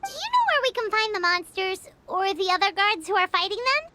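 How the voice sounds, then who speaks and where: A woman voicing a tiny, excitable, childlike fairy companion in an anime-style video game, with a squeaky voice. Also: fairly quick, a little hiss or hum, full character voice. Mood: curious. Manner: excitable, exclamatory.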